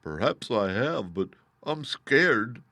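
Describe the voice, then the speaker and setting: A man's voice: mid-range voice, big pitch swings, takes it slowly, bright, forceful tone, dry recording, clean studio sound, full character voice. A man voicing a fearful lion who speaks anxiously and hesitantly, drawing out his words.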